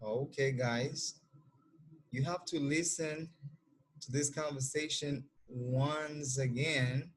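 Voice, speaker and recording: A man teaching an English class, giving slow, repeated instructions to his students. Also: medium pitch, slightly rough. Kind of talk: classroom lesson over textbook audio.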